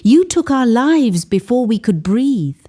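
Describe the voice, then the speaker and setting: A woman's voice: a little high, sing-song, unhurried, warm tone, voice pushed up. A woman voicing tiny, accusing baby spirits in an eerie folktale. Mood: vengeful. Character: vengeful ghost babies, tiny accusing spirits.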